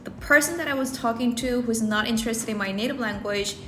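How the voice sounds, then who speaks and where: A woman's voice: fairly high pitch, a little fast, sharp tone, a little hiss or hum. A woman speaking English, giving earnest personal advice to camera and often rephrasing herself mid-sentence. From South Korea. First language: Korean. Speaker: English-learning video creator. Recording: roomy echo, faint background music.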